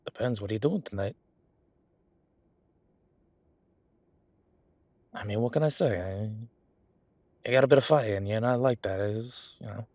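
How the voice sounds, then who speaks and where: A man plays a male records clerk in an audio roleplay, his voice on the low side. He is defensive and chatty, a lazy bureaucrat who rambles in everyday slang. The sound is close and dry. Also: a little fast, darkish tone, no room sound, natural voice. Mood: bashfully flirty. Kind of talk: solo audio roleplay, conversational acting.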